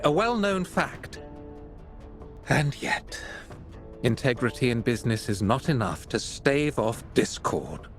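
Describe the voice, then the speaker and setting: Pompous businessman, slow and dramatically swooping. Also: fairly high pitch, a bit bright, full character voice. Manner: formal, oratorical, self-righteous. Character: pompous, indignant male art exchange boss on the defensive.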